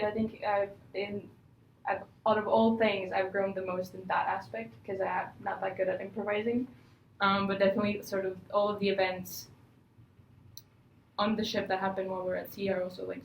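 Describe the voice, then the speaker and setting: A woman's voice: medium pitch, creaky, slight background noise. A young woman from Croatia, a student, speaking thoughtfully with frequent hedges. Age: young adult. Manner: thoughtful, hedging with sort of. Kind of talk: casual podcast interview. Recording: echoey, dull sound.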